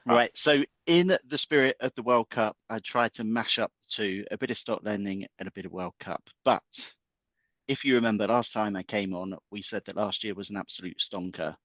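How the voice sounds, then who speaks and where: A man with a fairly low voice talks markets on a podcast, packing his points with playful football metaphors. His audio sounds dry and a little dull, like a remote line. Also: normal speed, fairly bright tone, no room sound. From England. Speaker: guest market analyst. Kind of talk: podcast chat.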